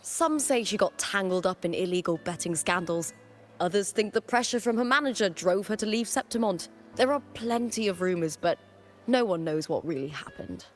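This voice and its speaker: A woman's voice: medium pitch, animated, normal speed, lightly acted. An actress voicing a confident, friendly and earnest young female warrior in a video game. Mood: earnest, reflective. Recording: music under the voice.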